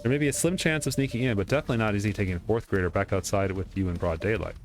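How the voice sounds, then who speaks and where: Fast-talking man with a low voice. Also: faint music behind. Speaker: let's play streamer. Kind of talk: reading game dialogue aloud during playthrough.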